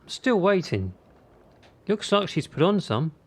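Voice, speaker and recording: An actor playing a critical, controlling gymnastics coach in a radio drama, measured and condescending. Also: male voice, sits high, sing-song, breathy edge, full character voice. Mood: disparaging.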